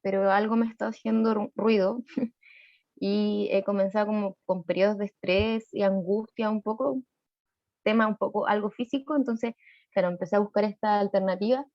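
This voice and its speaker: A woman's voice: medium pitch, steady tone, normal speed, no room sound, lo-fi sound. A woman introducing herself on a video call, speaking hesitantly and restarting words. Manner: hesitant, soft-spoken, with restarts.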